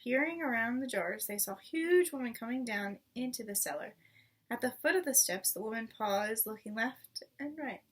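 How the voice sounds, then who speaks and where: High-voiced woman reading a children's story. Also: expressive, normal speed, fairly bright tone, breathy edge, recorded from a distance. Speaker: storybook reader. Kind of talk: expressive children's read-aloud narration.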